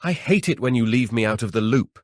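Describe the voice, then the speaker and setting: A man's voice: mid-range voice, lively intonation, a little fast, no room sound, studio quality, voice pushed up, lightly acted. A man voicing an earnest, exasperated wife in an audiobook, with a chiding manner. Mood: cross. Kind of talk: dramatic audiobook character voice.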